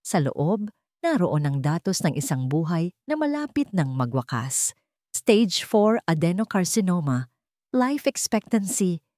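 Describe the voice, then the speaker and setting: A Filipino woman narrates a dramatic scripted story. Her voice sits on the low side and moves with animated, varied intonation. The sound is dry, with no room around it. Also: dry recording.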